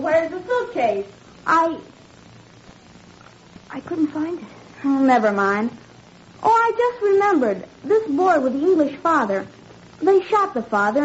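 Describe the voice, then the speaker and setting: A performer playing a frightened, fragile young boy in a 1940s radio drama. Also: sits high. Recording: muffled, hissy 1940s radio recording.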